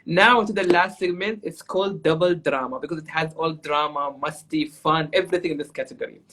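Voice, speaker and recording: Husky male host. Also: fairly high pitch, a little fast, pushed and bright. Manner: upbeat, guides the segments. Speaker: talk show host. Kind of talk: hosting an interview with games.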